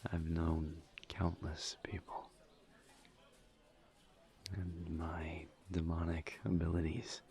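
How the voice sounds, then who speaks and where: A man acting as a courteous incubus demon in an intimate audio roleplay. His voice is very low and full of gravelly fry, and he picks his formal words slowly, with halting, soft, breathy delivery and earnest awkwardness. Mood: sincere, tender.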